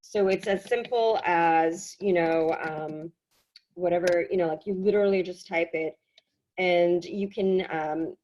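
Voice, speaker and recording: A woman giving a casual software tutorial, explaining in a halting, conversational way, slightly hoarse from a cold. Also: on the low side. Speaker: workshop presenter. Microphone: video call.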